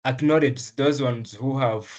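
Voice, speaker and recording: A man's voice: mid-range voice, a little mellow, highs cut off. Earnest young man from Rwanda. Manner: earnest, measured, with frequent ums and restarts. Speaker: medical student, essay prize winner. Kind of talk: interview answers.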